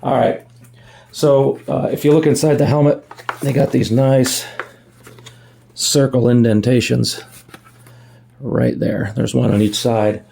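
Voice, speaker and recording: Very gravelly man, casual how-to talk. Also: medium pitch, steady tone, slow pace, pauses often, mellow, a little hiss or hum. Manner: folksy, thinking aloud while working. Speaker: amateur DIY video maker. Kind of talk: casual hands-on tutorial narration. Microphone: phone recording.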